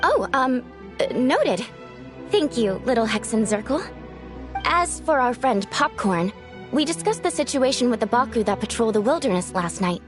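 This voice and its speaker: A woman voicing a gentle, courteous, slightly flustered young spirit in an anime-style video game. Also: fairly high pitch, sing-song, moderate pace, over music. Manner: courteous, hesitant.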